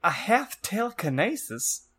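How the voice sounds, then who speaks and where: Man acting a dark wizard villain, raised pitch, menacing, archaic, commanding, comic storybook reading. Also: big pitch swings, measured pace, slightly rough, full character voice. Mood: cruel, threatening. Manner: mock-archaic, commanding shouts.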